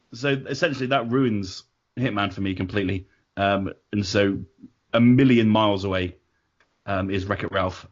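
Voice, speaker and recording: Blunt, lowish-voiced man bantering as host. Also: a bit bright, muffled recording. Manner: blunt, sweary, jokey. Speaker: podcast host. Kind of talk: casual banter.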